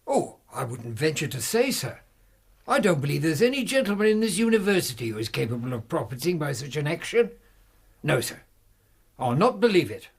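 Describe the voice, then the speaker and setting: A nervous old-retainer type in a radio play, a college servant. His voice is gravelly and on the high side, with jumpy, swooping intonation. He defers humbly and falters when flustered. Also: sing-song, a little fast, exaggerated voice. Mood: earnest, loyal. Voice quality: gravelly, husky. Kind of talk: radio drama acting.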